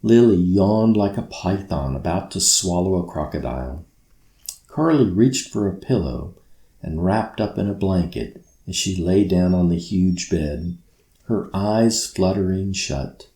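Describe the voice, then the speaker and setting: A man's voice: sits low, expressive, unhurried, dark tone, reverberant. A man narrating a children's bedtime story expressively, as an author reading his own book.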